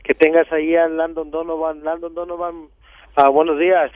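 A man's voice: a little high, level tone, normal speed. A man calling in to a radio show, playful and joking. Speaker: caller. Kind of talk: call-in chat on radio. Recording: dull lo-fi phone line.

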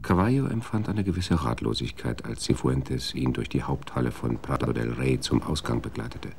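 Ein Mann erzählt ein Hörspiel gemessen und trocken. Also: male voice, rumbling low, expressive, moderate pace, vocal fry, loud music behind the voice. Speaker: narrator. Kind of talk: scripted radio drama narration.

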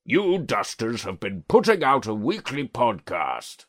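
A man's voice: huge pitch swings, unhurried, a bit bright, heavily acted. A man voicing a smug, mocking male Santa who bosses everyone around and prefers to be called Lucifer. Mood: smug, bossy. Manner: booming, bossy, cuts others off. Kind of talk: comedy sketch narration.

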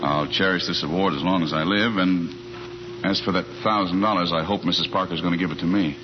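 An actor playing a glib, smooth-talking foreign correspondent with a scheme, in a 1940s radio comedy. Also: male voice, low-pitched, fairly even intonation, fairly quick, lightly acted. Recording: muffled, noisy old radio broadcast.

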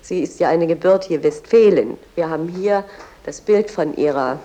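A woman's voice: deep voice, normal speed, dark tone, lightly acted. A woman as a tour guide, explaining a poet's house in a chatty, informative way. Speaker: museum guide.

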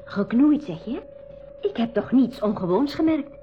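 A woman acts an inquisitive young heroine in an eighties school radio serial. The voice is high and swings widely with each question. The recording is slightly muffled, with music. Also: expressive, normal speed, lightly acted. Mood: curious. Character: Marleen, inquisitive spirited young girl adventurer. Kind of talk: 1980s school radio drama dialogue.

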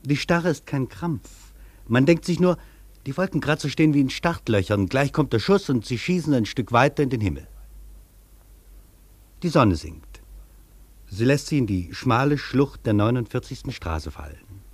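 A male actor playing a reflective traveller, delivering a literary radio monologue in a measured, poetic, declamatory way. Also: mid-range voice, expressive, slightly rough, no echo. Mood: awed, contemplative. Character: reflective male traveller marvelling at a vast foreign city. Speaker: actor reading a traveller's first-person account in a radio feature.

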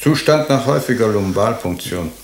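An actor playing a matter-of-fact pathologist in a radio drama, reporting in a clipped, clinical way. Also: male voice, lowish voice, lively intonation, moderate pace. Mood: dry, clinical. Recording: roomy echo.

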